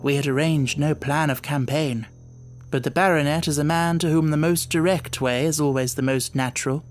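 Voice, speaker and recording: Higher-voiced man narrating a suspenseful audiobook. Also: steady tone, moderate pace, soft background music. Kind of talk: scripted dramatic narration of a classic mystery.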